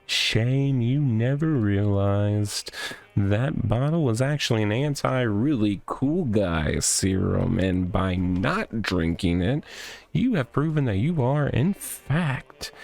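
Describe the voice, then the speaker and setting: A man voicing a smug, boastful tough guy from a visual novel, with pompous, drawn-out, theatrical delivery. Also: on the low side, expressive, creaky, a little mellow, exaggerated voice. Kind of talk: visual novel character read aloud. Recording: music behind the voice.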